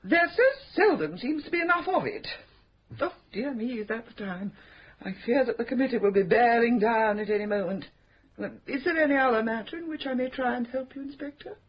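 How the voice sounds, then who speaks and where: An actress playing a prim, mischievous, scheming spinster who runs a charity, in a radio drama. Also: female voice, on the high side, animated, a little fast, natural voice. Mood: arch. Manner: arch, coy, prim and mischievous. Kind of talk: 1970s radio drama acting. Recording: dull slightly noisy radio drama recording.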